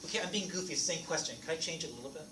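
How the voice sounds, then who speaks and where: A man whose first language is French, teaching a math class in a lively, playful way and asking the students questions. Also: fairly high pitch, racing, recorded from a distance. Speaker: math instructor. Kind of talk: classroom lecture. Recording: echoey classroom recorded from afar, noisy.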